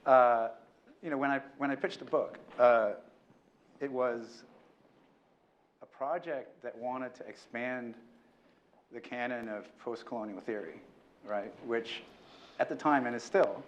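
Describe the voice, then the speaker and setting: A man speaking on an academic panel, thoughtful and hesitant, often pausing with fillers and tag questions. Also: medium pitch, muffled recording, slight background noise. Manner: hesitant, many fillers and "right?" tags. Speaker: panelist, former fellow.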